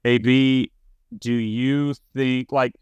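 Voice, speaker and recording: Clear-voiced man talking sports, very dry sound. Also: mid-range voice, fairly even intonation, very slow, darkish tone, no room sound, muffled recording. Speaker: sports podcast host. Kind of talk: casual sports talk.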